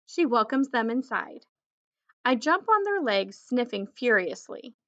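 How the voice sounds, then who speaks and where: A woman reading a children's story aloud in an expressive, deliberate storytelling manner. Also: a little high, highs cut off. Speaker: children's book reader.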